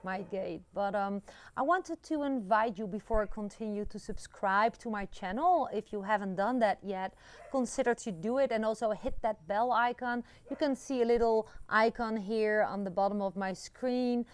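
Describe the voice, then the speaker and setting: A woman's voice: medium pitch, normal speed, clear, resonant tone. A woman in her forties from the Netherlands with a Dutch accent, chatting encouragingly to a phone camera outdoors, with many fillers. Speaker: vlogger. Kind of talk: casual talk to camera. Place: outdoors in a rural yard. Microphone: phone camera at arm's length.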